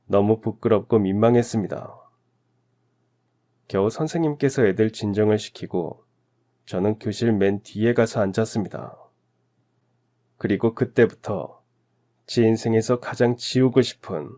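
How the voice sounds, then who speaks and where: A man from Daegu narrating his own life story in a calm, reflective, confessional first-person style. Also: fairly low pitch. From Daegu, South Korea.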